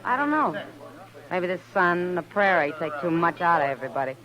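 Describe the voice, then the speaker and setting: A woman's voice: on the low side, big pitch swings, a little fast, rough recording. An actress playing a frank, world-weary frontier saloon woman in a 1950s radio western, with a dry, plain-spoken manner. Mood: jaded, confiding. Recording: old radio recording, saloon crowd behind.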